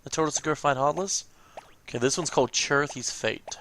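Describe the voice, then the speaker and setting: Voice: mid-range voice, fairly quick, fairly bright tone, a little hiss or hum. A let's play host giving casual, informal commentary while reading through video game cutscenes.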